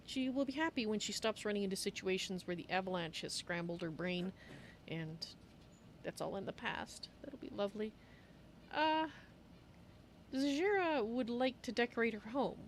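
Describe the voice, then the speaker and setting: A woman's voice: mid-range voice, moderate pace, long pauses, slight background noise, natural voice. A woman roleplaying a polite, slightly befuddled female cat-folk adventurer in a video game, speaking of herself in third person. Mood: musing, polite.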